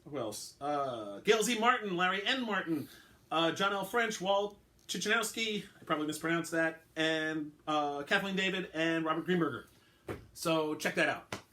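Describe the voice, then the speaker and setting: A man's voice: a little high, expressive, moderate pace, sharp tone, a little hiss or hum. A man, an author, reading aloud as a dramatic storyteller.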